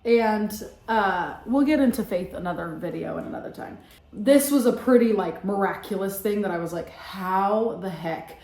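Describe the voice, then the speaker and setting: A woman's voice: medium pitch, moderate pace. A young adult woman telling a personal story in a casual, chatty vlog with lots of fillers. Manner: chatty, animated, many fillers. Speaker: vlogger. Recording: echoey room.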